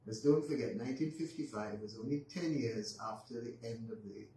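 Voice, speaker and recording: A man in his eighties with a Barbadian accent, telling stories of his life as a guest in an unhurried way, often pausing with ums and restarting. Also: mid-range voice, dark tone. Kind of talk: reminiscing storytelling in conversation. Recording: roomy echo, distant mic, faint noise.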